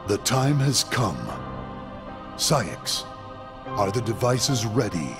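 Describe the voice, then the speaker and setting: A man playing a cold, calculating villain leader in a video game, measured, commanding and theatrical. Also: lowish voice, very animated, heavily acted. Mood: commanding, scheming. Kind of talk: video game cutscene acting. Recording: music behind.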